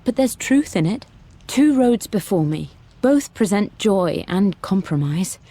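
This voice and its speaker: A woman's voice: medium pitch, big pitch swings, unhurried, mellow. An actress playing a thoughtful, torn aristocratic woman with a British accent, confiding in a reflective game monologue. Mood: torn, wistful. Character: thoughtful, torn young aristocratic woman weighing love against duty. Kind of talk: dramatic monologue in a video game.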